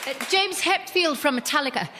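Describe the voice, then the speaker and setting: A woman's voice: high voice, expressive, a little fast, pushed and bright, a faint crowd. A woman playing a radio interviewer in a comedy impressions sketch. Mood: excited. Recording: noisy archive clip.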